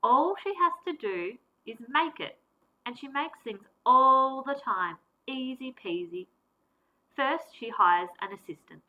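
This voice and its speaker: Bright-voiced woman teacher reading a storybook. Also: sits high, low-quality recording. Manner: expressive, deliberate storytelling with varied emphasis. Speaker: primary school teacher. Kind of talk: reading a picture book aloud.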